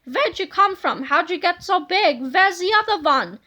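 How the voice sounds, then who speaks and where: An ornery male supervillain from a children's storybook , acted out by a woman, high and forceful. He taunts loudly and bluntly, mean to the core. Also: expressive, normal speed, full character voice. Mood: startled. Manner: loud, taunting.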